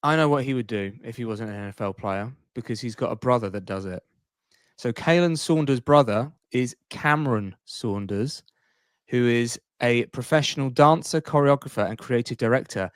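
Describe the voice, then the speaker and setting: Man, medium pitch, casual podcast chat, rambling, playful, jokey, dry close sound. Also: moderate pace, clean tone, no echo. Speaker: podcast co-host.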